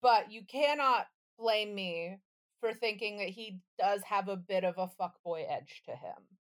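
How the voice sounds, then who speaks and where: A woman's voice: medium pitch, a little slow. A woman co-hosting a casual comedy podcast, talking in a blunt, sardonic and sweary way. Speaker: podcast co-host.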